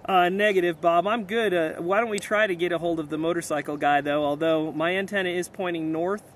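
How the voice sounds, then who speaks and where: A man with a fairly high voice talks casually as a ham radio operator outdoors. He hesitates and fills gaps with ums. The recording has plainly audible outdoor noise behind him. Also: a little fast. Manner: excited, hesitant with fillers. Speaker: amateur radio operator, video maker. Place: outdoors on a hillside.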